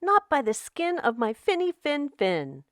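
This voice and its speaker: A woman's voice: high voice, huge pitch swings, measured pace, a bit bright, dry recording, studio quality, voice pushed up, exaggerated voice. Woman as a plucky little storybook fish, lilting. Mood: defiant.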